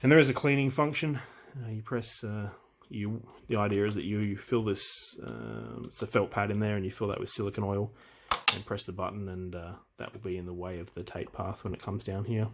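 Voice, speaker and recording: Male hobbyist presenter, lowish voice, informal and unscripted, hesitant as he talks through gear. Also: vocal fry, slight background noise. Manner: hesitant, halting, thinking aloud while handling the machine. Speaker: hobbyist presenter demonstrating vintage audio gear. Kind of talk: casual unscripted equipment walkthrough.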